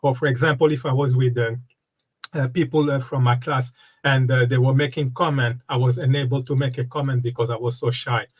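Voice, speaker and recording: A man in his fifties, originally from the Democratic Republic of Congo, talks as a guest in a relaxed interview. His delivery is halting, full of fillers and repeated starts, at a middle pitch. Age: 50s. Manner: halting, many fillers and restarts. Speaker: guest, wellness coach and speaker. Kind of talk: casual interview conversation.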